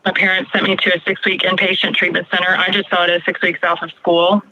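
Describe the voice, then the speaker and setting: A woman's voice: on the low side, level tone, quick pace, bright, forceful tone, highs cut off. A woman in her forties from Texas with an American accent, telling her personal story conversationally as a podcast guest. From Texas, United States. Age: 40s.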